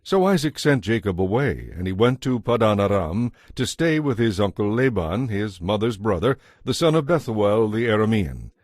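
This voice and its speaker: Lowish-voiced man narrating scripture. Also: very animated, moderate pace, hardly any pauses, a little mellow, no room sound, clean studio sound.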